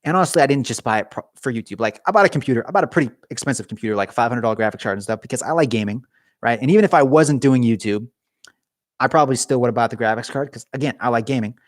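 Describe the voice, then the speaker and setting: A man's voice: medium pitch, very fast, no echo. Rambling male streamer, dry garage sound. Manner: rambling, joking asides to viewers. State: losing his voice. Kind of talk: casual live-stream chat.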